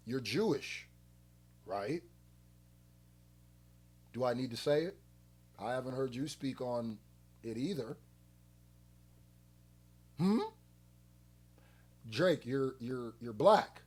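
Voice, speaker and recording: A man's voice: mid-range voice, husky. Blunt man reacting to music. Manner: blunt, animated, conversational slang with rhetorical questions. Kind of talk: casual album reaction commentary.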